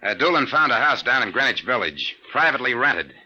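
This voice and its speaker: An actor playing a tough, blunt private detective in clipped 1950s radio drama style. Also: male voice, medium pitch, very animated, fairly quick, heavy background noise, close to an everyday voice. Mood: businesslike. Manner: hard-boiled, clipped. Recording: dull old radio drama recording.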